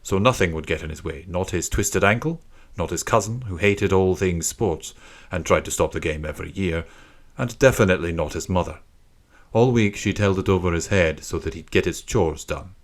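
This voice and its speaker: A man's voice: deep voice, normal speed. A man reading a scripted children's story as an audiobook narrator.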